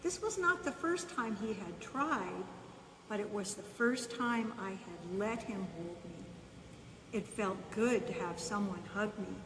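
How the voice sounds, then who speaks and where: A woman reading a children's bedtime story aloud in the first person, unhurried, pausing between short phrases. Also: mid-range voice, lively intonation. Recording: echoey reverberant room, distant mic, faint noise.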